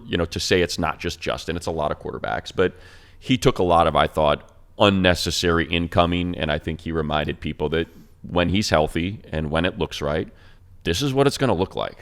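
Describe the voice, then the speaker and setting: A man who is a radio play-by-play announcer, talking football in an enthusiastic, stat-heavy way on a casual sports podcast. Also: sits low.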